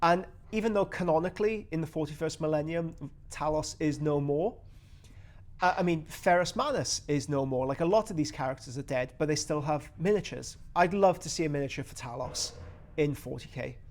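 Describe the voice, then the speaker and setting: A man's voice: a little high, a little fast, a little mellow. A Welsh man hosting a casual hobby chat, enthusiastic and rambling, often restarting his sentences. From Wales. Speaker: host.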